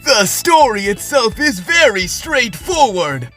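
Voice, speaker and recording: A man's voice: very high voice, big pitch swings, slow pace, bright, forceful tone, soft background music, exaggerated voice. A man voicing a theatrical young male troupe star in an anime fan dub, grandiose and declamatory. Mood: proud, enthusiastic.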